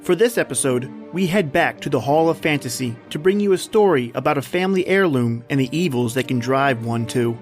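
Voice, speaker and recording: A man's voice: mid-range voice, lively intonation, brisk, few pauses. Male horror podcast host announcing over music. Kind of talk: scripted horror podcast introduction.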